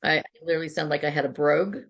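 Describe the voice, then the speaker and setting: Low, gravelly-voiced woman telling stories. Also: fairly even intonation, rapid-fire, muffled recording. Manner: chatty, many restarts and asides. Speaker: interview guest, PR agency founder. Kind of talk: casual interview storytelling.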